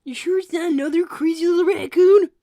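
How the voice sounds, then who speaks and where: A woman's voice: extremely high pitch, brisk, no echo, clean studio sound. A woman voicing an anxious, earnest young boy fox in improvised cartoon-character livestream chat. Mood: worried, matter-of-fact. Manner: excitable, exasperated, earnest.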